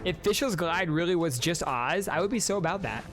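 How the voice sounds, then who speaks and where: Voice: medium pitch, animated, normal speed, a bit bright, soft background music. A streamer giving casual, joking reaction commentary and ranking game trailers while talking to chat. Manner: chatty, joking, addresses chat directly.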